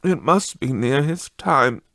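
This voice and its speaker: A man voicing a tender, weary, grieving mother in an audiobook story, speaking in a halting, wistful way. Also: medium pitch, animated, a bit bright, dry recording, studio quality, voice pushed up. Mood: tender sorrow.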